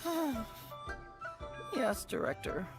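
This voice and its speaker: A man's voice: extremely high pitch, wildly swooping pitch, very slow, over music, exaggerated voice. A man voicing a hesitant, resigned funeral parlor employee in a video game, stammering and doubtful. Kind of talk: video game character acting.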